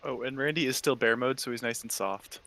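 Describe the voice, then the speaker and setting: Fast-talking man playing a tabletop game. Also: mid-range voice, pushed and bright. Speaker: player in a tabletop roleplay game. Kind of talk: casual in-game description.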